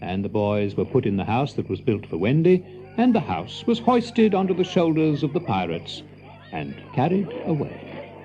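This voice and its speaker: A man narrating a scripted children's story dramatically, as an expressive, confiding storyteller. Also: mid-range voice, moderate pace, highs cut off, soft background music.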